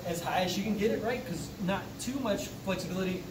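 A man's voice: sits high, fast. A man in his thirties, a coach, explaining squat form to the camera in an upbeat, instructive way inside a large gym. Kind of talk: coaching demonstration. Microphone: camera mic from across the room. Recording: echoey gym from far off, noisy.

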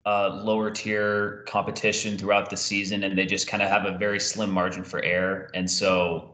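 A young man with a fairly low voice hosts a casual sports interview. He speaks over a video call from a noticeably echoey room. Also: monotone, normal speed, pushed and bright. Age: young adult. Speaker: sports show host. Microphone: video call microphone.

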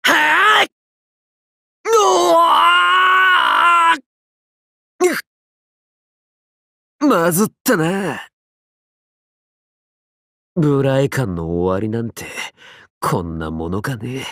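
Young male martial artist assassin, roguish, easygoing, cocky game-character swagger, highly animated pitch, slow pacing. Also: dry recording, clean studio sound. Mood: wry, resigned. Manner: cocky, theatrical, casual swagger. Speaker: voice actor. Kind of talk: anime mobile game character lines.

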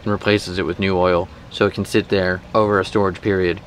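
A man in his thirties hosting a vlog, chatting informally to camera in a casual, animated explainer style outdoors. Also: deep voice, a little fast. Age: 30s. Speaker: boating vlog host. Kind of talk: casual sponsored talk to camera. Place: outdoors in a boat cockpit at a marina. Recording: faint outdoor marina background noise.

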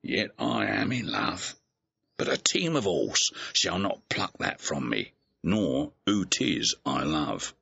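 Gravelly, drawling clownish servant. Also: male voice, low-pitched, big pitch swings, slow, bright, forceful tone, dull audio, heavily acted. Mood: musing, amused. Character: clownish male servant, cheeky, rambling, simple. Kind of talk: classical stage comedy acting in an audio play.

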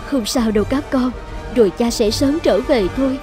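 A woman playing a gentle, devoted young princess in an animated fairy tale, soft and earnest. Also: on the high side, normal speed, over music, close to an everyday voice. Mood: reassuring.

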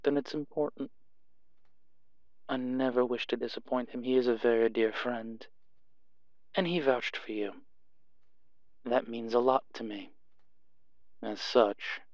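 A man performs a suave underworld club owner for an audio roleplay. He talks slowly, in a teasing, flirtatious purr. The sound is slightly dull, like a call. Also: mid-range voice, no room sound, close to an everyday voice. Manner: purring, unhurried, teasing.

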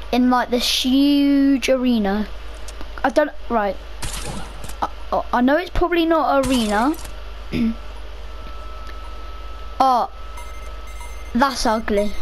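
A young woman chatting casually over her own gameplay, rambling to herself, her voice a little rough from a cough. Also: on the high side, slight background noise, low-quality recording. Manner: chatty, rambling, self-talking. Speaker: gaming video creator.

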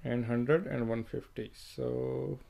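A man talking through a screen-recorded software tutorial, thinking aloud as he works, with fillers and restarts. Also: mid-range voice, fairly even intonation, slow, vocal fry. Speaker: software trainer.

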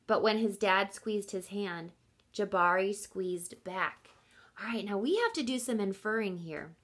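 A woman's voice: medium pitch, measured pace. A woman in her thirties reading a picture book aloud as a teacher, expressively, stopping to explain and question young listeners directly. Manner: expressive, instructive, addressing children directly.

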